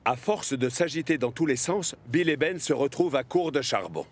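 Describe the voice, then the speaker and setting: A man's voice: mid-range voice, expressive, normal speed, background noise, lightly acted. A man narrating a children's cartoon in French, explaining the story as a scripted storyteller. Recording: music behind the voice.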